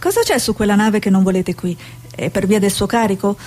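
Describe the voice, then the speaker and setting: An actress playing a determined, curious Italian TV reporter in a radio drama, probing and persistent. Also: female voice, medium pitch, fairly quick, darkish tone, natural voice. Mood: probing, polite.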